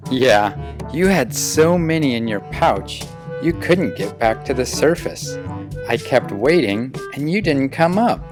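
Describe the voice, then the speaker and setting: A man voicing a proud, loyal young boy pelican in an earnest, expressive children's picture book read-aloud. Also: medium pitch, a little slow, close to an everyday voice. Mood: fond. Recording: music behind the voice.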